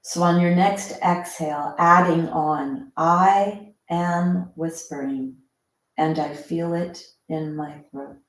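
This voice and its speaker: A woman with a low-pitched voice guides a breathing meditation. She speaks very slowly and gently, leaving space between short instructions. The sound is roomy and echoing. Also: fairly even intonation. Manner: gentle, instructing softly with pauses.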